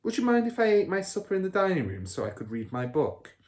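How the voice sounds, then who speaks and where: A man's voice: sits high, wildly swooping pitch, fast talker, darkish tone, pitched above the performer's own voice. A man voicing an earnest, indignant five-year-old girl in a storybook, protesting and outspoken.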